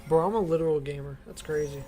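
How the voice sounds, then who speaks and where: A man's voice: on the high side, fast, warm tone. A man commentates casually on his game in loose slang, swearing freely and bragging about himself. Faint game music sits behind his voice. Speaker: gaming streamer.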